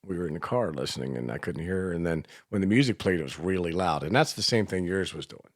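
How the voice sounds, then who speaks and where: A man's voice: sits low, expressive, fast, no room sound, studio quality. A man chatting casually as a radio show host, with an informal, teasing way of talking. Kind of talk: casual chat.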